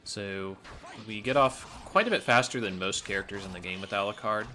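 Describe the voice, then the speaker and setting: A man's voice: on the low side, fairly quick, pushed and bright, faint music behind. A man giving explanatory commentary as he plays through a video game speedrun.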